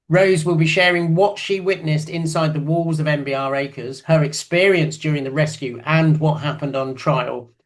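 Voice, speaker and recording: A man hosting an evening event, reading a formal introduction of the guest speaker in a measured way. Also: on the high side. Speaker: event host.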